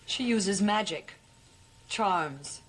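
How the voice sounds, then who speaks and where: An actress playing a haunted, earnest heroine struggling with her memory in a gothic television soap, with intense, halting delivery. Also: medium pitch, animated, rough recording. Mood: haunted, remembering.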